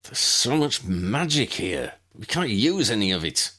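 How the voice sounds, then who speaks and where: A man plays a teenage boy wizard in a fantasy audiobook, blunt and easily frustrated. The delivery is husky, with animated swings in pitch. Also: a little slow, full character voice.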